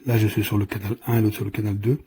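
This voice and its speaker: A man giving an informal product demonstration, explaining in a halting, improvised way. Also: on the low side, level tone, warm tone. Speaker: product reviewer. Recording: clear background noise.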